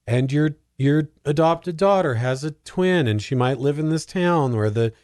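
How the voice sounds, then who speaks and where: A man's voice: fairly high pitch, expressive, a little slow, a little mellow, dry recording. A man chatting casually as a film podcast panelist, rambling and joking with dry humor. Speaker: podcast panelist discussing films. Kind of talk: casual comedic chat.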